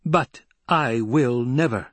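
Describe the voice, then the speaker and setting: A man voicing a defiant, coldly angry young heir in a forceful, imperious, declamatory way. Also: medium pitch, lively intonation, moderate pace, no echo, voice pushed up, lightly acted. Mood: commanding fury.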